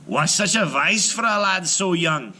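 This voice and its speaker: Storybook giant played by a male reader: bullying, boastful, loud, with a raised pitch and forceful edge. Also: lively intonation, measured pace, slight background noise, full character voice. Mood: astonished. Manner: shouting, boastful, exclaiming.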